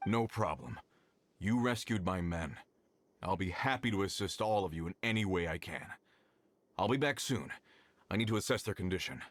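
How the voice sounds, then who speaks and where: A man's voice: low voice, expressive, normal speed. A man voicing an earnest, polite mining foreman in a video game, speaking with hesitant concern. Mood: grateful, obliging. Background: music behind the voice.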